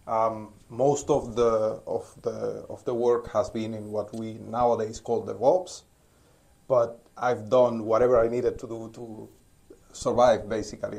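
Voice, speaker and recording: A man in his forties from Cuba, giving relaxed, thoughtful interview answers, pausing often and restarting as he talks, filmed outdoors on a rooftop terrace on a phone at arm's length. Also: on the low side, vocal fry. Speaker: interview guest, DevOps engineer. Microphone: phone held at arm's length.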